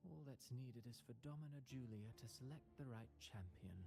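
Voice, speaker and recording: An actor playing a smug, scheming villain playwright in a video game, drawling theatrically and poetically as if savoring tragedy. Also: male voice, medium pitch, expressive, moderate pace, creaky. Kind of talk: scripted video game voice acting. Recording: music playing behind the voice.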